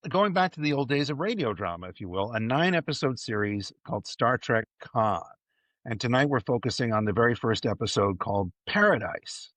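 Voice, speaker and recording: A man's voice: mid-range voice, expressive, a little fast, fairly bright tone, no echo. A man in a podcast discussion with a mid-range voice and very dry sound. He explains in a casual, conversational way, hedging and rephrasing as he goes. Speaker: podcast panelist.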